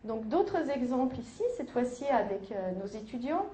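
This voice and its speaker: A woman giving an academic conference lecture, measured, with hesitations and self-corrections. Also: a little high, lively intonation, dark tone, a little hiss or hum. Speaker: university lecturer. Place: lecture hall. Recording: echoey lecture hall.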